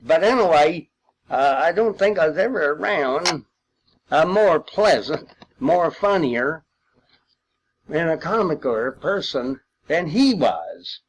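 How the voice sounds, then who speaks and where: Older male veteran, rural Missouri accent. Higher-pitched voice, very unhurried, folksy storyteller. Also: lively intonation, slight background noise, low-quality recording. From Missouri, United States. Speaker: World War II veteran storyteller. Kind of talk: rambling personal reminiscence.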